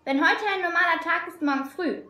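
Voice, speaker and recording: A woman's voice: very high voice, fairly quick. A woman playing a streetwise, matter-of-fact girl from a gang of young thieves in a radio play. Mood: warning. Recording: echoey room with clear background noise.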